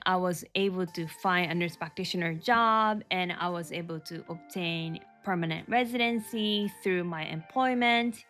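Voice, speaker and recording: A woman's voice: medium pitch, sharp tone. A middle-aged woman from Japan with a Japanese accent, a nurse practitioner hosting an earnest, upbeat advice talk for nurses. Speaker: nurse practitioner and career mentor, host.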